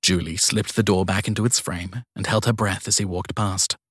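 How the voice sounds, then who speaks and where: Male narrator, husky low voice, dry close sound, scripted crime fiction reading. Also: steady tone, normal speed, dry recording. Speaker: audiobook narrator.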